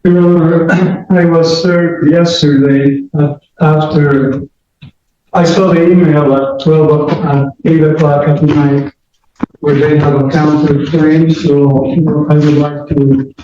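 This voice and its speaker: A man representing himself before a judge, speaking in halting, hesitant phrases. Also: fairly high pitch, level tone, mellow, slight background noise. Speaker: self-represented plaintiff. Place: courtroom. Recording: echoey courtroom from far off, dull.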